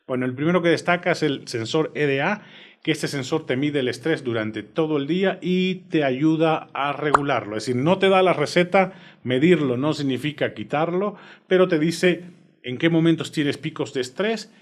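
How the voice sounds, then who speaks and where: A man with a Cuban accent walks through a gadget review in a relaxed, conversational way, clearly enjoying it. His voice sits a bit on the high side. He sounds like he's recording in his own home studio. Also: normal speed. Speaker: tech reviewer. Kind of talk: product review.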